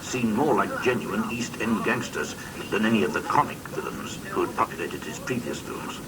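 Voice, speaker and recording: A man's voice: on the low side, lively intonation, moderate pace, background noise. A man reading a scripted biographical documentary narration, recorded on an old cassette. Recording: muffled distant old cassette copy, music behind. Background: music.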